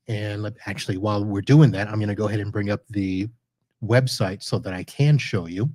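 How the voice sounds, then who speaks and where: A man hosting a podcast, casually walking through a software demo live while sharing his screen, chatty and correcting himself as he clicks around. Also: on the low side, fairly even intonation, a little fast, dry recording. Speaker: podcast host reviewing network gear.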